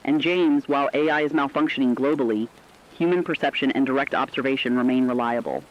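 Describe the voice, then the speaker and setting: A man's voice: medium pitch, fast, close to an everyday voice. Muffled radio audio, guy playing an earnest newsreader, medium voice, formal and exact like an emergency bulletin. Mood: serious, urgent. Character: serious radio news anchor giving emergency advisories. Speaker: radio news co-anchor. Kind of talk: scripted radio news broadcast. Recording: dull lo-fi radio sound.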